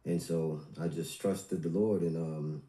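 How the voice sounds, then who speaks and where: A man in his forties from Guyana calls in to a church livestream with a very deep, mellow voice. He speaks deferentially and says sir often. His voice echoes in the room. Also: steady tone, measured pace. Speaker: caller, church member. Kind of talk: casual call-in testimony.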